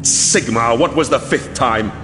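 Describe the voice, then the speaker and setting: Guy doing a haunted fierce armored warrior for a fantasy audiobook, slow and declaiming, big reverb. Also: medium pitch, close to an everyday voice. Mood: haunted confusion. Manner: declamatory, halting. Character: armored holy warrior, male, fierce but haunted and faltering. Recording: long reverb, music underneath.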